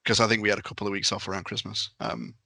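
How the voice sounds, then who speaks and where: Male podcast panelist, lowish bright voice, casual chat, close dry sound. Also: flat delivery, very fast, creaky, no room sound. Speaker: podcast panelist and game master.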